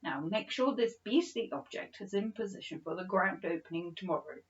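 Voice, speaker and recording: A woman's voice: medium pitch, animated, normal speed, highs cut off, lightly acted. A woman voicing a thin, snappish male head curator, a stern and disdainful storybook villain. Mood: scornful, threatening.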